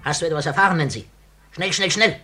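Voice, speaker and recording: A man's voice: fairly high pitch, fast talker, loud music behind the voice, lightly acted. A man playing a wily, agitated criminal gang boss in a 1950s German radio play, urgent and given to repeating phrases.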